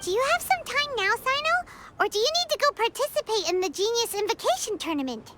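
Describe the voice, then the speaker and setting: Casting: excitable floating childlike girl for anime game, sky-high squeaky voice, very animated delivery. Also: a little fast, slight background noise, full character voice. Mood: chatty, curious. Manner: perky, exclaiming, refers to self by name. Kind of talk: anime video game voice acting. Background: game music.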